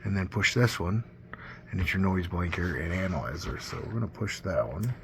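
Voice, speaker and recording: A man with a fairly low voice walks through equipment in a casual, hands-on way. He is informal and points out one thing after another. He is recorded indoors at a workbench, with clear background noise in the room. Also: animated, brisk. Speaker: CB radio enthusiast demonstrating gear. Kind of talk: casual hands-on product demo. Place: indoors at a radio bench.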